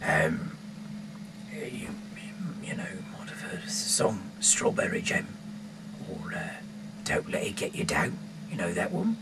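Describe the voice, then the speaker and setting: An English man reading aloud casually in a rambling, halting way, with off-the-cuff asides. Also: deep voice, very animated, in a whisper. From England. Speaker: amateur Bible reader and rock singer. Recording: clearly noisy homemade recording.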